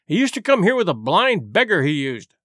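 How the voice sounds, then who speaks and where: A man acts out a shrewd, genial one-legged sailor who runs a tavern in an adventure storybook. He blusters heartily in salty seafaring talk, with a raised pitch that swings widely. The sound is dry and close. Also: big pitch swings, brisk, pushed and bright, no room sound, exaggerated voice. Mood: confiding, excited. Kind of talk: audiobook character voice.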